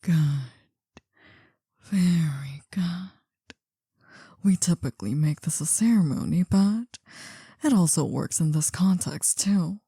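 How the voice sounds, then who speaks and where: Woman playing a whispering, husky, possessive female mafia boss. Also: fairly low pitch, no echo, studio quality, full character voice. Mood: solemn, possessive. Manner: slow, hushed, deliberate. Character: possessive older female mafia boss, soft-spoken, coaxing yet menacing. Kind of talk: intimate ASMR roleplay, whispering to the listener. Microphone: close dry microphone.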